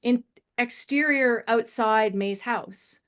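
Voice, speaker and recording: A woman story editor giving spoken script feedback in a discussion, halting and emphatic, often illustrating with examples. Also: on the high side, a bit bright.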